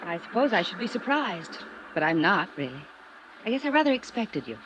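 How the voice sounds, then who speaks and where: An actress playing a lonely, troubled wealthy young woman in a 1950s radio drama, wistful and evasive, sharp when pressed. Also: mid-range voice, sing-song, brisk, slight background noise. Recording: muffled old radio broadcast.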